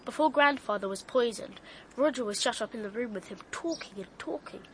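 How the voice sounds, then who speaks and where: A woman's voice: fairly high pitch, animated, brisk, slight background noise. A woman playing a precocious, secretive young girl detective in a radio drama, blunt and knowing. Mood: smug, secretive.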